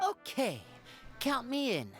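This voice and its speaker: A woman voicing a smooth-talking young wandering rogue magician in a video game. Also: medium pitch, huge pitch swings, slow pace, soft background music, full character voice. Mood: sly, easygoing.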